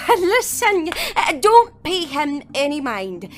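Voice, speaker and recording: A woman acting as a wary leader of survivors in an audio drama. Her voice sits high and she picks her words with guarded care, over a music bed. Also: very animated, slow, exaggerated voice. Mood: placating. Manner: hesitant, placating, wary. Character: guarded female survivor group leader, diplomatic under threat.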